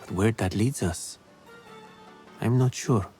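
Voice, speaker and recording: Solemn old physician spirit, kinda low voice, grave and careful, soft music behind. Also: male voice, slow pace. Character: wise ancient male physician spirit. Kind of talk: game cutscene dialogue.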